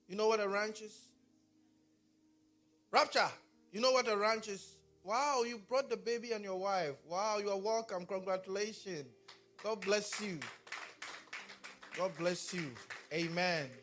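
A man from Ghana with a Ghanaian accent, preaching a sermon and talking back and forth with his congregation. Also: sits high. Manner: animated, talks with the congregation. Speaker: pastor.

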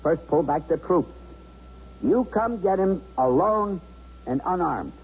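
A man's voice: fairly high pitch, animated, slow pace. An actor playing a cocky, volatile bank robber in a radio drama, with sarcastic, bossy, slangy tough-guy talk. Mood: demanding. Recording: dull, noisy 1970s radio broadcast.

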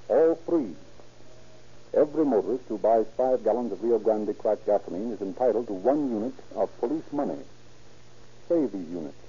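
A man's voice: mid-range voice, mellow, husky. A man reading scripted narration as a 1930s radio announcer, with a formal, dramatic delivery. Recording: muffled 1930s radio recording.

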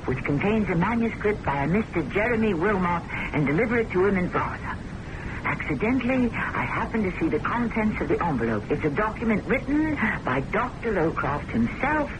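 A woman's voice: mid-range voice, natural voice. An actress playing a frantic, suspicious woman in an old-time radio drama, speaking with dramatic, insistent intensity. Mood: indignant, urgent. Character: frantic, suspicious woman recounting a spy ordeal. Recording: noisy old radio broadcast recording.

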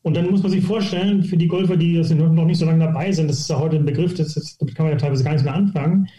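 A quick-talking man in his sixties from near Hamburg tells golf stories with lively, self-ironic humour. His voice is slightly high for a man but very dark and mellow in tone, and it is recorded in a roomy space with noticeable echo. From northern Germany, near Hamburg. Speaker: golf coach, guest. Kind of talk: casual interview storytelling.

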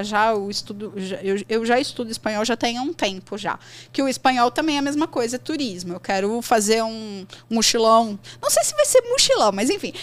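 Chatty high-voiced Brazilian woman host. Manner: animated, chatty, slangy, jumps between thoughts. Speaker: podcast host, English teacher. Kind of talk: casual podcast chat.

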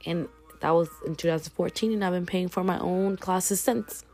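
A woman's voice: lowish voice, normal speed, dark tone, soft background music. A woman in her twenties giving a casual, earnest pep talk to her viewers, repeating key phrases for emphasis. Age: 20s. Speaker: vlogger. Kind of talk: casual motivational talk to camera.

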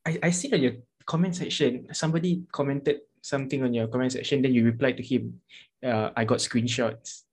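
A young man, chatting casually in a relaxed way, full of slang. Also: mid-range voice, a little fast, breathy edge. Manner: relaxed, uses slang like dude and bro. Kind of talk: casual chat.

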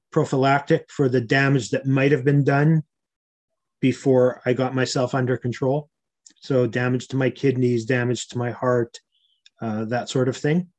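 A man in his early sixties, an interview guest, explaining health matters informally in a measured way. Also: medium pitch, a little mellow.

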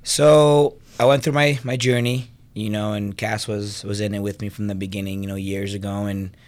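A man's voice: lowish voice, flat delivery, moderate pace. A young man from Venezuela, a guest on a podcast, talking casually about his life with lots of fillers. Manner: personable, many fillers. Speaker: guest, fitness business co-owner. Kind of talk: casual interview chat.

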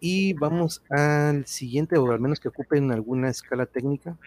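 A man with a Mexican accent hosts a livestream in casual chat. His delivery is jovial and breaks easily into chuckles, with a middling pitch. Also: steady tone, moderate pace, darkish tone, dry recording. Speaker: livestream host.

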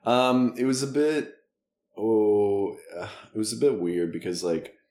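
A man with Russian as his first language, hosting a podcast in casual chat, joking and laughing often as he tells halting stories. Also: fairly low pitch, mellow, roomy sound. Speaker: podcast host.

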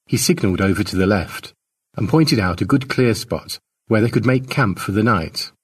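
Husky low-voiced man reading a story. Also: animated, moderate pace, far from the mic. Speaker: author narrating own short story. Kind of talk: expressive audiobook narration.

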